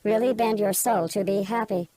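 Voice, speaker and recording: A woman's voice: mid-range voice, steady tone, normal speed, background noise, lightly acted. A woman playing an uncannily cheerful, robotic radio co-host with stilted, literal phrasing in scripted banter. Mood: uncanny cheer.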